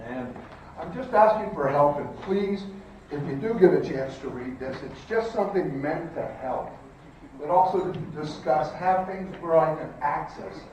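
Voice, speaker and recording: An older man with a slightly raised pitch, talking in an earnest, personal, almost pleading way to a room of realtors. The camera catches him from far off in a large room, so his voice rings with heavy echo over faint background noise. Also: moderate pace, a little mellow. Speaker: home inspector speaker. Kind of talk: conference presentation. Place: hotel conference room, indoors. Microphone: far camera across the room.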